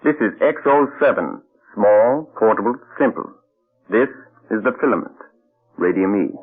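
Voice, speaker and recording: An actor playing a cold, measured male mad scientist who threatens quietly, in an old radio drama heard as a tinny broadcast. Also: on the low side, lively intonation, faint music behind. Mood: menacing. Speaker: villain inventor. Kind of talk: 1940s radio acting.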